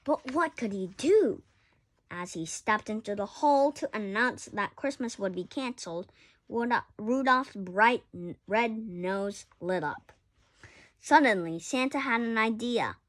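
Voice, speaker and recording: Slow-paced woman reading a children's story. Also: a little high. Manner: expressive, halting with stumbles and corrections. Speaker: story reader. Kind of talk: children's picture-book read-aloud.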